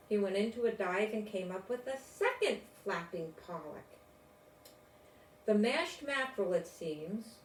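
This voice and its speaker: An older woman reading a children's storybook aloud in a deliberate, expressive way, with informal asides. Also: mid-range voice. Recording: roomy, distant mic, faint noise.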